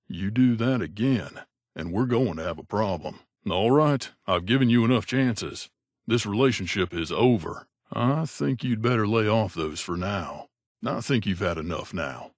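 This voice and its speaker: An actor playing a wry, gruff mercenary companion in a video game, speaking in a conversational, grumbling way. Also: male voice, on the low side, no room sound, studio quality. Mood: dry reproach. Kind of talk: game character voice lines.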